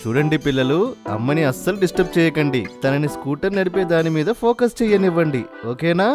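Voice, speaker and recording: A woman's voice: low voice, brisk, close to an everyday voice. A woman voicing an encouraging but firm father in a children's cartoon, instructing and reassuring. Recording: music loudly behind the voice.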